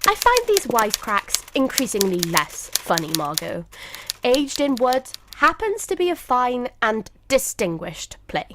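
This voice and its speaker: Radio play role: a woman as a brisk, loyal, teasing wife, fairly high voice, animated and emphatic. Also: background noise. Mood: exasperated. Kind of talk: radio drama acting.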